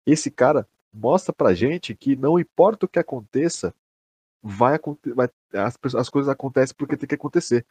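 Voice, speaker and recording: A man's voice: medium pitch, animated, normal speed, darkish tone, slightly rough, no echo. A man with a Brazilian accent chatting casually as a podcast co-host.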